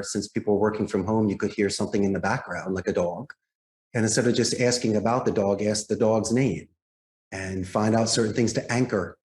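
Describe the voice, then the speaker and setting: A man's voice: lowish voice, a little mellow. A man giving polished, articulate explanations as a guest in an interview. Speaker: podcast guest, call center owner and trainer. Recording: roomy, echoing sound.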